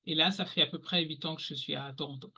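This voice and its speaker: Bright-voiced French man, halting and chatty. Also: fairly high pitch, steady tone, slightly rough. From France, Paris region. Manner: halting, many fillers and restarts. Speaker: podcast guest. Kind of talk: casual interview talk.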